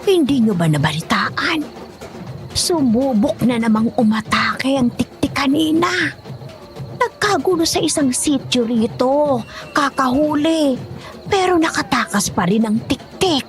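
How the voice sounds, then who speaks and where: A woman's voice: high voice, expressive, a little slow, soft background music. A woman with a Filipino accent playing a chatty, foreboding older village auntie in an audio horror drama. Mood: alarmed, gossipy.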